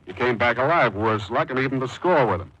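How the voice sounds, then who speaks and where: An actor playing a tough, wisecracking private detective in a sardonic 1940s radio mystery style. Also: male voice, medium pitch, lively intonation, brisk, fairly bright tone, lo-fi sound. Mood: defiant, sarcastic. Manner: wry, hard-boiled, sarcastic. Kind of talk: 1940s radio mystery acting, with first-person narration. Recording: 1940s radio broadcast with music.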